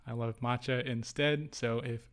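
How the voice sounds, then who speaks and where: A man's voice: medium pitch, steady tone, normal speed, clear, resonant tone. A young adult man co-hosting a casual podcast, talking in a playful, joking way. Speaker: podcast co-host. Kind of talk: casual chat.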